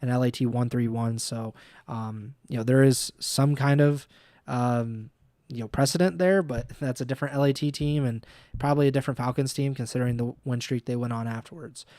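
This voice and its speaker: A man's voice: medium pitch, fairly even intonation, fairly quick, mellow, no echo. Easygoing male podcast co-host, dry sound. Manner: easygoing, many uh and um fillers. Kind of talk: casual livestream podcast chat.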